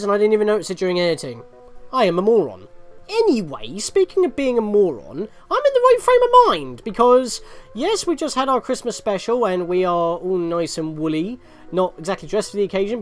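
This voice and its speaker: A man giving chatty gameplay commentary as a video host, rambling and often correcting himself mid-sentence. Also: high-pitched.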